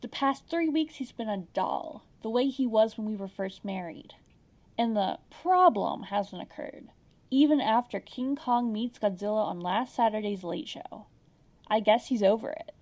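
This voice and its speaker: A woman's voice: mid-range voice, lively intonation, measured pace, darkish tone. A woman playing an anxious, long-suffering housewife who speaks in a fretful, meek way in a comedy audio drama. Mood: uneasy relief.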